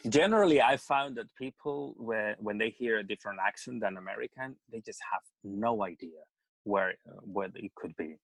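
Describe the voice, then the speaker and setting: A man's voice: on the low side, normal speed, vocal fry, no echo, low-quality recording. A man from Spain speaking English with a Spanish accent, chatting as a podcast guest. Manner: chatty with frequent laughter. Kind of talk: casual podcast conversation.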